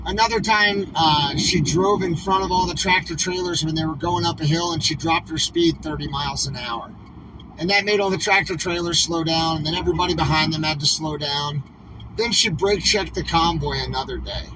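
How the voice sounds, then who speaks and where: A man's voice: fairly high pitch, a little fast. A man livestreaming from a moving vehicle in casual talk, rambling and emphatic with lots of "like" fillers. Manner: rambling, emphatic, heavy use of "like". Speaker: convoy livestreamer. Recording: distant mic, clear road noise.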